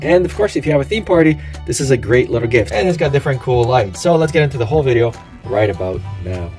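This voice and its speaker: Male product reviewer, casual and chatty, salesy delivery, mid-range pitch, light music underneath. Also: animated, fast.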